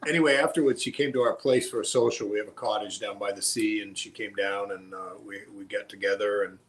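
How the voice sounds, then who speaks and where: A man's voice: on the low side, steady tone, fast talker, rough recording. A man speaking as a guest, casually chatting and telling personal stories. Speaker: guest storyteller. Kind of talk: casual chat and personal storytelling.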